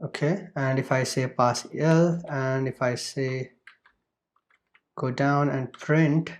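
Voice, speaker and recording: A man explaining programming while running code on his screen, in a loose, repetitive way with many fillers and restarts. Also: medium pitch. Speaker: programming tutor. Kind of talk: screen-recorded coding tutorial.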